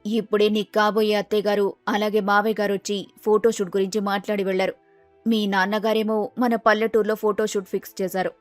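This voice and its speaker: A woman's voice: mid-range voice, level tone, fast talker, dry recording, faint music behind, voice pushed down, lightly acted. A woman voicing a middle-aged, fussing, practical mother of a bride in storybook dialogue, with a motherly, instructing manner. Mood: curious, chatty.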